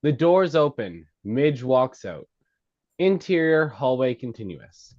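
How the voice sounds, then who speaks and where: A man reading screenplay stage directions in a halting way, on a slightly dull recording. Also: mid-range voice, a little mellow. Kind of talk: scripted narration, present-tense screenplay directions.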